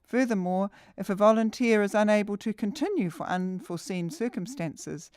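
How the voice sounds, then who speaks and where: A woman narrates a scripted training video at a medium pitch. The sound is dry and close, with no room around her voice, and the delivery is that of step-by-step instruction. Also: expressive, normal speed, darkish tone, smooth, no room sound.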